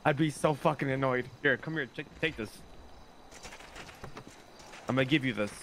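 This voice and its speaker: A man's voice: mid-range voice, normal speed, background noise. A man bantering casually in an online role-play game, sweary and repeating his phrases, over voice chat.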